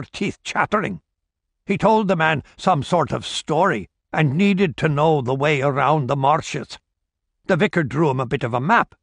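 A man's voice: a little high, gravelly, fairly bright tone, husky, no echo, heavily acted. A man voicing a friendly middle-aged barkeep, deferential and spinning a tale. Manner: deferential, storytelling.

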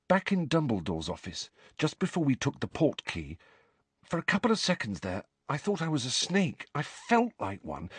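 Guy doing a scared teen boy, half whispering, tense, kinda husky. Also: on the high side, no room sound, pitched above the performer's own voice, exaggerated voice. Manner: tense, often hushed and urgent. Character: troubled teenage boy, anxious and intense.

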